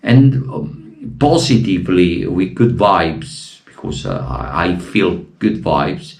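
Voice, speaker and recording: A man from Italy with an Italian accent, giving emotional, impassioned commentary as a football fan vlogger and bursting into shouted appeals, his voice wearing thin. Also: low voice, sing-song, takes it slowly, vocal fry. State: losing his voice.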